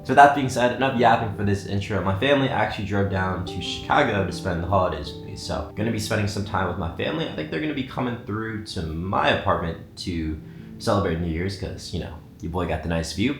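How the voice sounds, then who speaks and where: A young man in his twenties speaks in a lowish voice, casual and candid, dropping swear words as he reflects. The sound is roomy, with loud guitar music playing behind him. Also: animated, a little fast. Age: 20s. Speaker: vlogger. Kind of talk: reflective personal vlog. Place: apartment.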